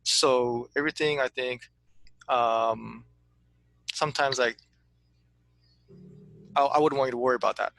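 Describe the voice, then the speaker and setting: A man's voice: medium pitch, fairly even intonation, a little slow, gravelly, pauses often, fairly bright tone, no room sound, low-quality recording. A man coaching an insurance agent in a casual consulting chat, eager and full of restarts. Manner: eager, repeats words when starting, says "to be honest with you".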